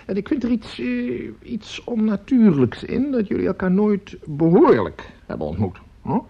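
A creaky, blustery father who likes his glass in a radio play. His pitch lurches between muttering and high swoops as he rambles and halts. The recording is dull and a little noisy. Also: big pitch swings, full character voice. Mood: earnest, insistent. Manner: rambling, halting, prone to mutter and swing up. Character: tippling, blustery father and music teacher, middle-aged or older.

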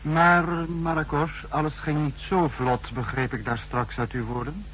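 An actor playing an authoritative, skeptical head of a secret service in a 1960s radio drama, speaking in a measured way. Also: male voice, on the high side, level tone, a bit bright, slight background noise, rough recording. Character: authoritative, skeptical male spy chief called Protector. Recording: faintly humming 1960s radio recording.

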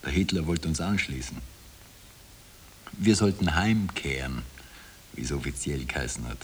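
A deep-voiced Austrian man, narrating with dry irony. The radio play has a faint hiss of old tape. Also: lively intonation, moderate pace. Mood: wry. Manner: wry, ironic storytelling. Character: wry former political prisoner recounting his past. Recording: slightly hissy old tape.

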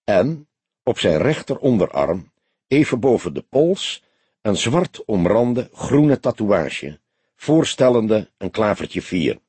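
A man's voice: medium pitch, big pitch swings, slow, a little mellow, husky, no echo, exaggerated voice. A man voicing a young detective in an audiobook, an earnest and self-assured junior colleague who reports precisely and emphatically. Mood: precise, factual.

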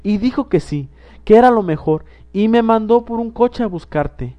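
A man voicing a small, excited girl, pitching his voice high and chirpy in an audiobook reading. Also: normal speed, dull audio. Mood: overjoyed. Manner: high chirpy childlike delivery, breathless with joy. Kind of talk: audiobook character voice.

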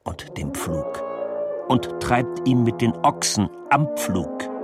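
A man playing a solemn reciter of a revolutionary pamphlet, declaiming deliberately in an experimental musical audio drama. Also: mid-range voice, sing-song, loud music behind the voice. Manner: slow, deliberate, fragmented declamation.

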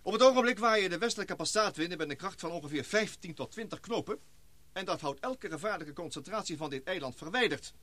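An actor playing an earnest, excitable scientist and expedition leader in a Dutch radio play, speaking formally and precisely. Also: male voice, high voice, fairly quick, dry recording. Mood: grave, explanatory.

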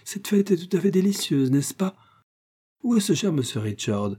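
Man voicing a chattering ball guest, high-pitched, breathless, rambling, husky. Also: big pitch swings, moderate pace, warm tone. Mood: gushing.

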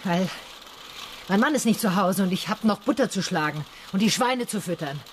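A woman's voice: medium pitch, lively intonation, moderate pace, slight background noise, lightly acted. A woman playing a plain-spoken, dogged, poor farm mother in a radio drama, speaking insistently. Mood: pleading, insistent.

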